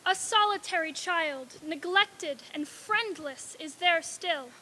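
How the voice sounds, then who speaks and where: A woman playing a solemn, commanding spirit in a radio-play reading, deliberate and otherworldly. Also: very high voice, pushed and bright, a little hiss or hum, full character voice. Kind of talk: old-time radio stage reading.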